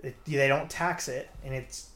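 A man's voice: medium pitch, steady tone, unhurried, fairly bright tone. An American man hosting a casual podcast chat, talking loosely with lots of fillers. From United States. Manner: loose, conversational, full of "like" fillers. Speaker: podcast host. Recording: echoey room.

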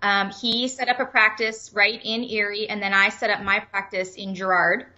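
A woman's voice: medium pitch, little pitch movement, normal speed, sharp tone, highs cut off. A woman from Ontario in her thirties, a chiropractor, giving brief easygoing answers as an interview guest. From Ontario, Canada. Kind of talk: casual interview chat.

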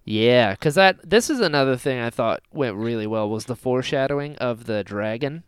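A man with a smooth voice and very lively, swinging intonation co-hosts a casual fan discussion. He is jokey and likes to act out lines as he talks. The sound is dry and close. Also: mid-range voice, moderate pace, no echo. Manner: animated, jokey, acts out imagined quotes. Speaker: podcast co-host.

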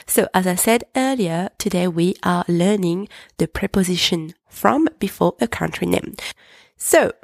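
A woman hosting a podcast lesson, patiently explaining and repeating French examples slowly. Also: on the low side, expressive, gravelly, dry recording. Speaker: French teacher, podcast host.

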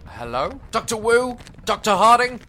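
A man's voice: high voice, sing-song, normal speed, background noise. Man playing a flustered commander, wildly swinging voice. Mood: anxious, flustered. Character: flustered military commander organizing an island evacuation. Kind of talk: audio drama acting.

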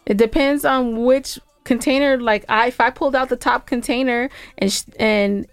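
A woman's voice: on the high side, fairly even intonation, normal speed, clear, resonant tone, dry recording. An American woman co-hosting a podcast in casual, unscripted banter, chatty and informal. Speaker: podcast co-host.

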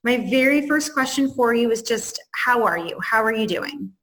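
A woman's voice: on the high side, expressive, moderate pace, a bit bright, slight background noise, rough recording. A woman co-hosting a podcast, asking interview questions and encouraging her guest with frequent mm-hmms.

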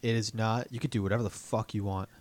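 A man's voice: fairly low pitch, steady tone, fast talker, a little mellow, dry recording. A man in his twenties hosting a podcast, chatting casually in a joking, encouraging way. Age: 20s. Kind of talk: casual chat.

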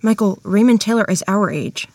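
A woman's voice: medium pitch, normal speed, darkish tone, breathy edge. A woman playing a strong, emphatic Midwestern wife in her thirties in a table-read drama. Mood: disgusted. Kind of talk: table-read screenplay acting.

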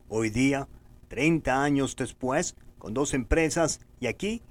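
A middle-aged man with a Venezuelan accent speaks as the host of a motivational podcast. His voice sits at an average male pitch. He delivers a prepared monologue in a formal, earnest way, exhorting the listener directly. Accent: Venezuelan Spanish.

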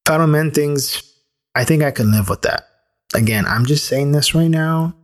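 A man's voice: on the high side, normal speed. A man in his thirties hosting a solo podcast, talking candidly and thinking aloud with restarts and the odd swear word. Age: 30s. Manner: casual with occasional swearing. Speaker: podcast host. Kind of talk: solo reflective podcast monologue.

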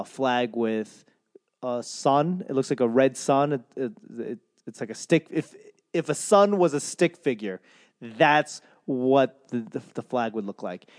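A young comedian from Boston giving a casual, profane, rambling rant in a solo monologue. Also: male voice, mid-range voice, moderate pace, a little mellow, no echo, clean studio sound. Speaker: comedian and podcaster.

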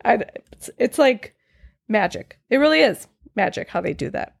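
A woman's voice: on the high side, expressive, moderate pace, creaky, no echo, studio quality. A woman co-hosting a casual film discussion, enthusiastic and swearing casually.

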